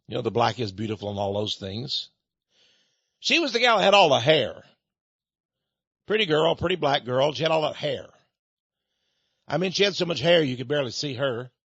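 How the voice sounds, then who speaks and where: A man's voice: fairly high pitch, a bit bright, slightly rough, no echo, dull audio. A man hosting a radio talk show, delivering a rambling, sarcastic monologue straight to his listeners. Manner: sarcastic, mocking; rambling, addresses listeners as folks. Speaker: radio host.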